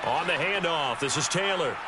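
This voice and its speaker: Over very loud background noise, an actor as an American man calls football play-by-play for a video game. His voice sits a little high, polished and upbeat, with crisp broadcast phrasing. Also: lively intonation, normal speed, over a noisy crowd, close to an everyday voice. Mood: steady, focused. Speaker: play-by-play sports commentator.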